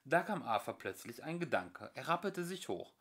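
A young man narrating a home-made audiobook with lively, expressive storytelling. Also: medium pitch, moderate pace. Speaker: audiobook reader. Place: home recording.

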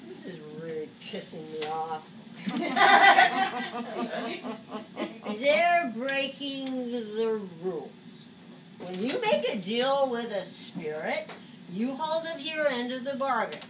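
A woman's voice: a little high, big pitch swings. A woman in her seventies playing a flamboyant, agitated psychic who scolds spirits in a dramatic stage monologue. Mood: frustrated. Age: 70s. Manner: dramatic, exasperated, halting muttering to outbursts. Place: small indoor stage set. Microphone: camera a few metres away. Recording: distant camera recording, noisy room.

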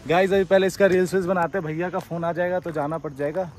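Give me a high-voiced young Indian man vlogging outdoors. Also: steady tone, quick pace. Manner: chatty, addresses viewers as guys. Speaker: motovlogger host. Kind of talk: casual travel vlog chat. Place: outdoors on a hill road by a car. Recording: music over outdoor vlog audio.

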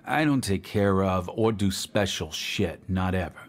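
An actor playing a gruff, weary older hired driver who narrates tersely in film noir style. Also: male voice, low-pitched, animated, unhurried. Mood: defiant. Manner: hard-boiled, terse, profane. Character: gruff, weary old hired driver, world-worn tough guy.

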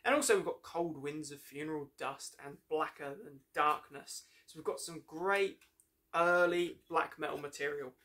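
A man's voice: a little high, moderate pace, sharp tone. A young man giving a casual, enthusiastic review to camera, rambling a little and correcting himself as he goes. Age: young adult. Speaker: music reviewer, host. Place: small room lined with shelves, indoors.

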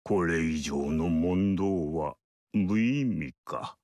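An ageless mystic sage in a video game, calm and aloof, speaking in measured, archaic and lofty phrases. His voice sits low and unhurried, and the recording is dry and close. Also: dry recording, full character voice. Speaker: game character. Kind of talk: game dialogue acting.

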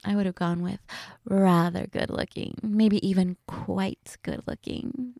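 Woman acting a flirty, slow-talking date, creaky voice. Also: medium pitch, steady tone, warm tone, no room sound, clean studio sound. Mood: teasing, playful. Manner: giggly, hesitant, teasing, conversational. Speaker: audio roleplay voice actress.

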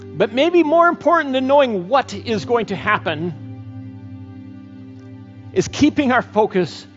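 A middle-aged man preaching a sermon and leading a closing prayer, slow and earnest with frequent pauses, on a headset mic. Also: very high-pitched, expressive, fairly bright tone, faint music behind. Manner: slow, earnest, pausing often. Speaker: pastor. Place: church stage, indoors. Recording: dull church recording with long reverb.

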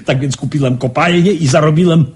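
An actor playing an eager, excitable wealthy gentleman in a radio drama, speaking effusively and haltingly in a husky voice. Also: fairly high pitch, slight background noise, close to an everyday voice. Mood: excited, elated.

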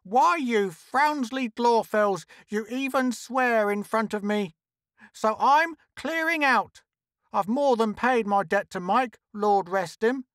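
A brash, tough woman of a mining camp acted out by a man in a pulp science fiction story. The voice is pushed high and loud. She scolds indignantly in rough, unschooled grammar. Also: takes it slowly, dry recording, studio quality, heavily acted. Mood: proud, defiant.

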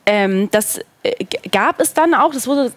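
A woman's voice: fairly high pitch, lively intonation, measured pace, no room sound. A woman in her thirties speaking as a talk show guest, informal and casual, dropping in English phrases. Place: indoor talk studio with seated group. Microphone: headset.

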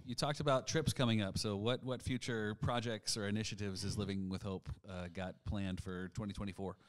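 A man's voice: lowish voice, fairly even intonation, fairly quick, smooth. A man interviewing a ministry guest for his church, friendly and encouraging with stammering restarts.

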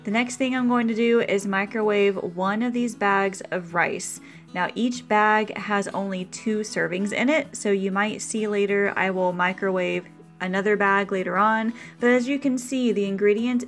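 Chatty woman explaining meal prep. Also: medium pitch. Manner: chatty, practical, explaining step by step. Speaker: meal prep video host. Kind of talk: casual cooking voice-over.

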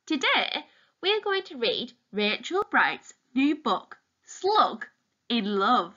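A woman reading a children's picture book aloud expressively and deliberately, as if addressing young listeners. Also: on the high side, big pitch swings, bright, forceful tone, muffled recording. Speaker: storytime reader, teacher.

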